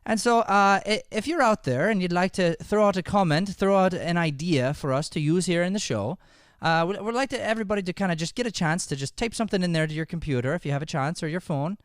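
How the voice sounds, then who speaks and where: A man's voice: sits high, quick pace, hardly any pauses, clear, resonant tone, dry recording, clean studio sound. A man hosting a live improv show, chatting informally and encouraging the audience. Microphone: podcast microphone.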